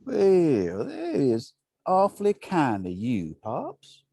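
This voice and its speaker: A man playing a husky, folksy neighbor. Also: fairly high pitch, huge pitch swings, slow, dark tone, full character voice. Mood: placating. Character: smooth, secretive older male neighbor. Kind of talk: table read.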